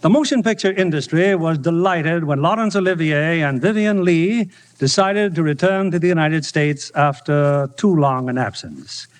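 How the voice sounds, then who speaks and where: A man with a fairly high voice presents a radio theater as its host and producer. His delivery is formal 1950s broadcast speech, heard on a vintage radio recording. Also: lively intonation, normal speed.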